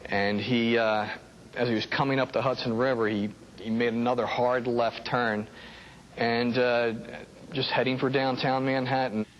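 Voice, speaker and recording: A man with an American accent recalling events in an interview, halting and repeating words as he goes. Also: mid-range voice, flat delivery, slight background noise, low-quality recording. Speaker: air traffic controller interviewee. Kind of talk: first-hand recollection in interview.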